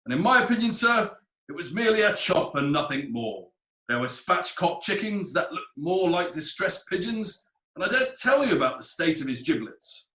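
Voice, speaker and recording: An actor playing an indignant, pompous food inspector giving evidence in a period courtroom play, with emphatic delivery. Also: male voice, high voice, big pitch swings, moderate pace, pushed and bright, roomy sound, exaggerated voice, low-quality recording. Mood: pompous, disdainful. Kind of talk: theatrical courtroom play acting.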